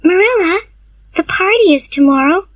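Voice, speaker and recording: A woman voicing an eager young orphan girl who talks in a high, earnest, pleading way. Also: expressive, normal speed, recorded from a distance.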